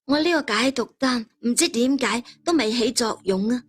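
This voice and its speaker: A woman's voice: on the high side, normal speed, pitched above the performer's own voice, natural voice. A woman voicing a poisoned, fearful male monk who complains and begs urgently. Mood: bitter, anxious.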